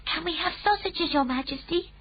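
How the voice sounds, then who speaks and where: A woman voicing an eager, excitable little girl, in a high, excited voice. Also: normal speed, muffled recording.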